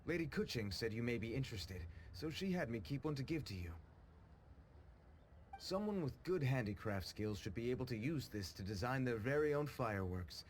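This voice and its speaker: An actor playing a deferential, hurried young assistant in a video game, speaking politely and a little hesitantly. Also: male voice, medium pitch, animated, a little hiss or hum, natural voice. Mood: hurried, courteous. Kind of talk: English video game voice acting.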